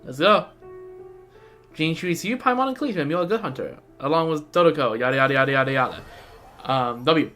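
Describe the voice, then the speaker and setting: A game streamer giving casual live commentary, halting and repetitive, with offhand asides in gamer slang. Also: mid-range voice, lively intonation, a bit bright. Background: game music.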